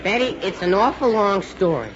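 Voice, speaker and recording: A performer playing a droll, literal-minded, slow-witted American teenage boy in a 1940s radio comedy. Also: mid-range voice, lively intonation, crowd noise, close to an everyday voice. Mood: evasive. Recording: muffled vintage radio recording with hiss.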